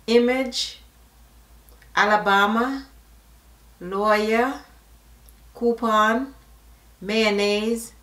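A middle-aged woman from Jamaica with a Jamaican accent, reading a word list and questions aloud in a casual vlog, slow and deliberate, slipping into patois asides. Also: mid-range voice, fairly bright tone, roomy sound. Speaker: vlogger. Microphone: camera facing her at close range.

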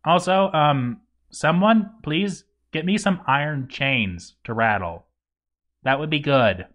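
A man's voice: a little high, animated, slow pace, lo-fi sound. A man voicing a grouchy, boozy zombie dwarf overseer in a game journal story, stammering and exasperated. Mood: hammy, demanding. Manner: stammering, exasperated, hammy delivery. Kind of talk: dramatic reading of a game journal.

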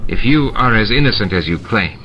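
A man voicing a stern, dry shapeshifting male security chief who talks in measured, sarcastic sentences. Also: fairly low pitch, animated, sharp tone, a little hiss or hum. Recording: dull lo-fi with music behind.

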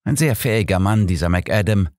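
Man voicing blustering police inspector, pitched up. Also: expressive, fairly quick, a little mellow, no room sound, clean studio sound, lightly acted. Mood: smug. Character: blustering, proud male police inspector, easily ruffled.

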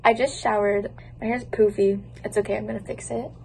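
A woman's voice: mid-range voice, little pitch movement, brisk, vocal fry, a little mellow, a little hiss or hum, poor audio. A young woman vlogging casually to her phone camera in a dorm room, bubbly and chatty, often giggling. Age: young adult. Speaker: student vlogger. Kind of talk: casual vlog chat to camera. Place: college dorm room, indoors. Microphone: phone held at arm's length.

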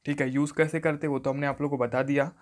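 A man's voice: on the high side, level tone, quick pace. A man giving an instructional phone tutorial voice-over, often repeating stock phrases. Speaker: tech tutorial host.

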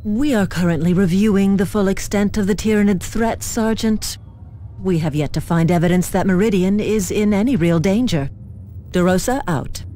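Actress as a mellow, formal military liaison woman. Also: medium pitch, lightly acted. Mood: polite, official. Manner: formal, measured, official. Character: formal human military liaison officer woman, coolly official. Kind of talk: scripted game mission briefing.

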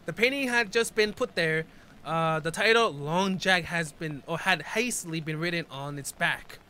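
A man's voice: on the high side, normal speed, bright, forceful tone, slight background noise. A man in his twenties reacting casually to a video, reading text aloud and commenting in informal, slangy speech.

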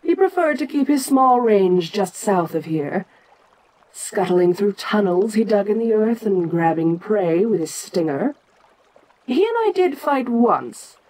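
Woman playing a slow-speaking ancient kaiju queen. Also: medium pitch, very animated, a little mellow, a little hiss or hum, low-quality recording. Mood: reflective, proud. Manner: regal, deliberate, musing, pausing often. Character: ancient, imperious female giant kaiju monster queen. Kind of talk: slow in-character audio roleplay monologue.

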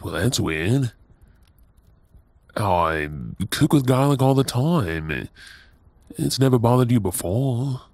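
An Australian man playing a tender, doting young husband in an intimate ASMR audio roleplay, with a soft, breathy voice. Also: medium pitch, expressive, slow, pauses often, no room sound. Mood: worried, tender.

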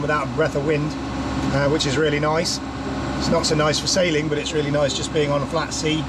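A man in his fifties, a sailing vlogger from the UK, talking to a camera held at arm's length in the cockpit of a yacht out at sea, with dry, self-mocking humour. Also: fairly high pitch. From United Kingdom. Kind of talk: casual vlog narration and to-camera chat. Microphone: action camera at arm's length. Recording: outdoor camera audio with clear wind noise. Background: wind and water noise.

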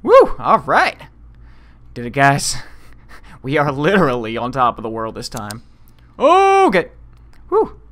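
A man doing casual, self-deprecating let's play commentary in an excitable manner. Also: mid-range voice, measured pace, long pauses. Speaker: video game let's player.

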